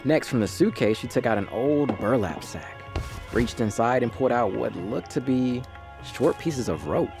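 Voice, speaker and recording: A man's voice: mid-range voice, expressive, moderate pace, vocal fry. A man playing the ghost of a male cat, telling his own story in a wry, folksy way. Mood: wry storytelling. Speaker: narrator. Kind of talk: first-person scripted storytelling. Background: music.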